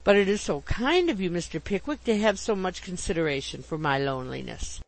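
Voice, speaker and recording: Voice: medium pitch, expressive, brisk, creaky, rough recording. A narrator voicing an adoring, effusive middle-aged mother from a storybook, gushing and prone to hysterics. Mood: trembling, grateful.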